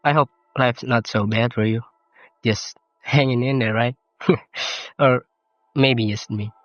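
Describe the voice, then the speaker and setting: Teenage male vlogger, Indonesian accent, non-native English, reflective and self-deprecating, slightly lo-fi voice-over with clear music underneath. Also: mid-range voice, steady tone, a little slow, no room sound. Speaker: YouTuber. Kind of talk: personal vlog narration. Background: game soundtrack music.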